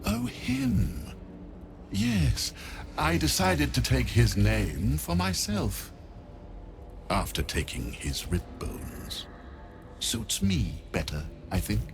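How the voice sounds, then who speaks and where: An actor playing a pompous, scheming necromancer in a video game, condescending and theatrical in his deliberate speech. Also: mid-range voice, huge pitch swings, gravelly, music in the background, heavily acted. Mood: dismissive. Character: pompous, scheming male necromancer, haughty and contemptuous.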